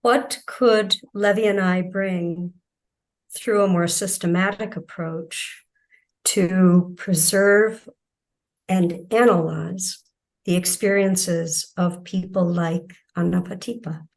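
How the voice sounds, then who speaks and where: Woman giving a measured academic keynote. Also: lowish voice, fairly even intonation. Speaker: keynote speaker. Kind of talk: academic lecture.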